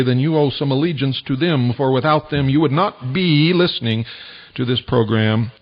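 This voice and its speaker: Lo-fi shortwave American man, portentous radio host. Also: mid-range voice. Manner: slow, deliberate delivery with dramatic pauses; portentous, preaching to listeners. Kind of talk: talk radio monologue. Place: radio broadcast. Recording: dull lo-fi 1990s shortwave radio recording.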